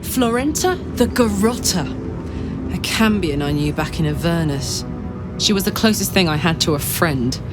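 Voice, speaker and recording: A tough warrior woman, played by an actress with a low voice, speaking bluntly and wryly as a game character. She sounds weary of a hellish past, and dramatic music plays loudly behind her. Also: animated, normal speed, close to an everyday voice. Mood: wary, wry. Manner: blunt, wry, informal. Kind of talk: video game voice acting.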